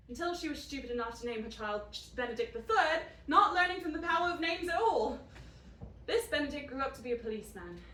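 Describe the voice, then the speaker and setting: A woman's voice: fairly high pitch, fairly bright tone. A woman acting a sardonic, blunt character in an audio drama, telling stories and asking questions. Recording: roomy, distant, faint noise.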